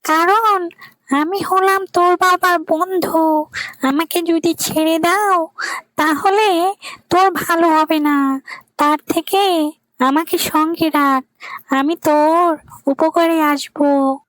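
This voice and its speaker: A tiny, earnest cartoon parrot with an extremely high voice. It pleads slowly and deliberately, in a dry, close sound. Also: female voice, fairly even intonation, a bit bright, dry recording, exaggerated voice, poor audio. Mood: pleading. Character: small talking pet parrot, earnest and pleading. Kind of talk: cartoon story character voice.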